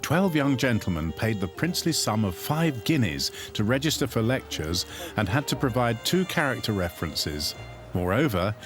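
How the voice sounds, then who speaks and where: Lively-voiced man presenting a garden documentary. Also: medium pitch. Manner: measured, explanatory delivery. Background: background music.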